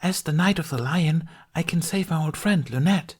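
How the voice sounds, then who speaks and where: A man putting on a high, theatrical lady knight. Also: unhurried, a little mellow. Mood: heroic declaiming. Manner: declamatory, archaic stage speech. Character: theatrical fairy woman acting a gallant, bombastic knight.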